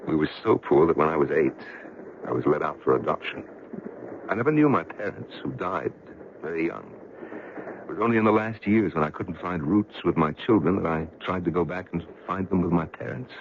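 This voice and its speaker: A man's voice: deep voice, animated, a little fast. A man acting an earnest, bitter widowed businessman in a radio drama, speaking plainly with hesitant, emphatic phrasing. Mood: grieving, confessional. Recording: muffled, noisy old radio drama recording.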